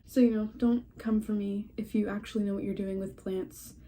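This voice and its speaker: A woman's voice: mid-range voice, flat delivery, moderate pace, dark tone. A young woman with a cold, sounding stuffy and a little scratchy, chatting casually and rambling from her home in a vlog update. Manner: rambling, chatty, self-deprecating, laughs often. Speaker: horse breeder vlogger. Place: at home among houseplants.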